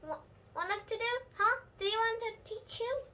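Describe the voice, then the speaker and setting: A woman's voice: very high voice, heavy background noise, pitched above the performer's own voice. A young female reader voicing a gushing, boastful little schoolgirl in a children's storybook, pushy and eager. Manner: pushy, rapid repeated questions. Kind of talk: children's storybook read-aloud.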